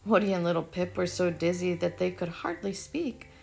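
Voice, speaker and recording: A woman's voice: lowish voice, animated, moderate pace, vocal fry. A woman from Texas, reading a children's story aloud expressively. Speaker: storybook reader. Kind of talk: children's read-aloud narration.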